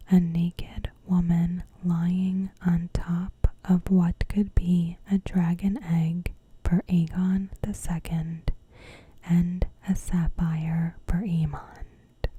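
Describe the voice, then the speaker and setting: A woman narrating a recap in ASMR style, in a hushed, breathy whisper with measured delivery. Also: on the low side, little pitch movement, lo-fi sound. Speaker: ASMR video host.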